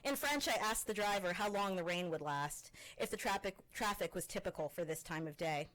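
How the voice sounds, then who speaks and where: A woman from Canada with a fairly low voice reads her own essay aloud to an audience. Her delivery is measured and expressive, with weighty pauses on key words. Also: rough recording. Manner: measured, expressive literary reading with pointed pauses. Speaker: faculty presenter. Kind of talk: reading a personal essay aloud.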